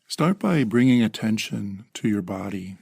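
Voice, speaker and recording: Male meditation guide: low, gravelly voice, calm measured phrasing with generous pauses, very dry sound. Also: no echo.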